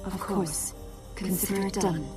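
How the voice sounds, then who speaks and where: Casting: woman as regal game leader, formal and serene, mid-range voice over loud music. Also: mid-range voice, fairly even intonation, measured pace, lightly acted. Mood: grave, gracious. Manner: formal, stately, composed. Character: dignified, serene female leader of a digital people. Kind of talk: video game cutscene acting.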